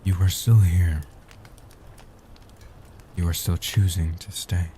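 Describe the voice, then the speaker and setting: A man plays a gentle, age-old vampire guardian in a comfort audio roleplay. His voice is very low and extremely dark, hushed and soft-spoken. He speaks slowly, solemnly and soothingly in formal phrases, over faint background noise. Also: fairly even intonation. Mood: tender, reassuring. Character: gentle protective ancient male vampire guardian.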